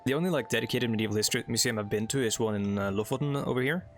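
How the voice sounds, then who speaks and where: A man's voice: medium pitch, very fast, a little hiss or hum. A young man from Norway with a Norwegian accent, English not his first language, rambling casually through gameplay commentary with lots of fillers. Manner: rambling, filler-heavy, chatty asides while reading game text. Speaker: let's play host.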